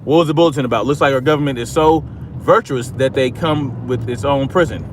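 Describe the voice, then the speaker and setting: A man's voice: medium pitch, fairly quick, background noise. An American man giving casual political commentary as a livestream host, emphatic and repeating phrases for stress.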